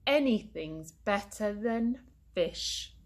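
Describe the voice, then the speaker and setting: A woman's voice: mid-range voice, lively intonation, drawn-out words. A woman voicing a grumpy, hungry male troll in a children's storybook, roaring and grumbling. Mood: grumpy, menacing.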